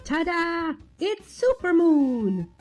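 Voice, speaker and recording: A woman acts out an eager male skeleton from a fan fiction story. The voice is high and soft-edged, easily startled and childlike in its enthusiasm. He exclaims often and stammers when unsure. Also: big pitch swings, slow, faint music behind, exaggerated voice. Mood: eager, proud. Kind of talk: acted fan fiction reading.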